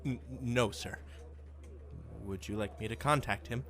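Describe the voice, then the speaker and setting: An actor playing a nervous, stammering office aide. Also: male voice, lowish voice, measured pace, fairly bright tone. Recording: very noisy recording.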